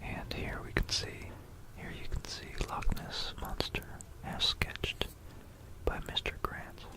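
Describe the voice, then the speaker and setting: An ASMR narrator speaks entirely in a breathy, unvoiced whisper. The delivery is a hesitant, meandering history talk, with phrases trailing off and repeated. It is captured close on a binaural microphone. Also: takes it slowly, rough recording.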